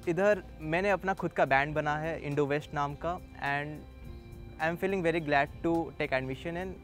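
Young man, Indian accent, student testimonial, highish pitch, very rapid. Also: soft background music. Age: young adult. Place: outdoors on campus.

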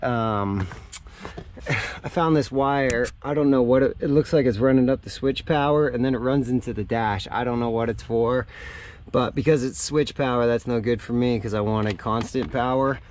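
A man with a mid-pitched voice talks through a do-it-yourself job in a casual, informal way. He thinks aloud as he works. There is clear noise behind him, recorded on an action camera out by a parked vehicle. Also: a little mellow. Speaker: vlogger showing a DIY truck install. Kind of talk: casual hands-on how-to narration. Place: outdoors in and around a parked truck in a driveway.

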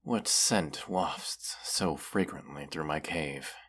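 A man's voice: very deep voice, fairly bright tone, slight background noise, exaggerated voice. A man playing a proud, menacing, weary dragon who distrusts humans, talking haughtily in a fantasy audio roleplay. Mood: suspicious. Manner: slow, deliberate, haughty, with long pauses. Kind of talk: scripted audio roleplay monologue.

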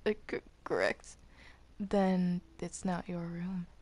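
A woman playing a defensive, flustered male captive in an improvised game roleplay, halting and stammering as they protest. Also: fairly low pitch, level tone, darkish tone. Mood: defensive, wary. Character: defensive reluctant captive Bendy, male cartoon demon, flustered.

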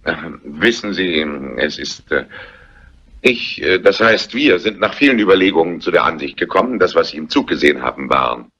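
An actor playing an awkward, evasive police inspector in a crime drama, halting and officially polite. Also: male voice, sits low, animated, bright, forceful tone, husky, heavily acted. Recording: muffled old soundtrack.

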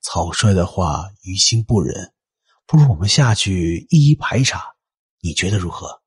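A man voicing a gentle, courteous, thoughtful Taoist cultivator in an audio drama, speaking formally and deliberately. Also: low voice, lively intonation, breathy edge, no echo, studio quality, exaggerated voice. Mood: earnest concern.

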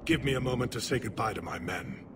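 Man playing a gruff low-voiced alien general. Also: lively intonation, quick pace, noisy background, close to an everyday voice. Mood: stern. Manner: grave, forceful, clipped military delivery. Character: gruff alien general, proud lifelong soldier turned reluctant leader. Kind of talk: video game cutscene acting. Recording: loud battlefield ambience behind.

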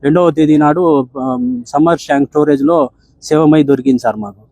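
A man's voice: on the high side, fairly even intonation, fairly quick, mellow, no echo. A man with a Rayalaseema Telugu accent giving a respectful, deferential account on the phone, often addressing the listener as sir. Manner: deferential, ends sentences with "sir". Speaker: bereaved father on a phone call.

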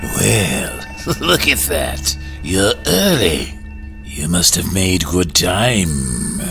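Vampire coachman, very low, slow drawl, mocking courtesy, menacing, faint music behind. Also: very animated, creaky, sharp tone, exaggerated voice. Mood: sly, amused. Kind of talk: horror audio drama acting.

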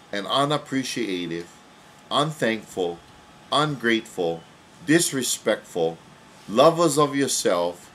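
A man's voice: mid-range voice, a little hiss or hum. A man preaching a confrontational sermon, blunt and mocking, firing repeated questions at the listener. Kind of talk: confrontational street-style sermon.

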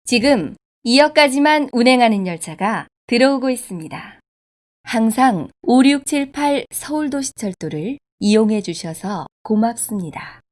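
A woman reading scripted subway station announcements in a formal, polite, measured way. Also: a little high, lively intonation. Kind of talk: scripted public transit announcement.

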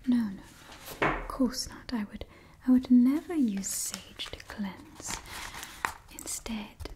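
A woman in her twenties doing an ASMR roleplay in a soft, breathy whisper, close to the mic, soothingly repeating her phrases. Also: on the high side, slow. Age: 20s. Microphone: close-up ASMR microphone.

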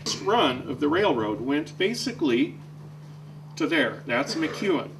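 A man's voice: a little high, sing-song, measured pace. A man presenting an explanatory history talk to a room. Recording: distant, noisy room recording.

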